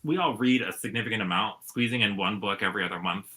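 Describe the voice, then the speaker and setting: Man, slightly low pitch, strikingly bright tone, informal book-chat co-host. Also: fast talker, rough recording. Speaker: book reviewer, read-along co-host. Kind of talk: casual chat.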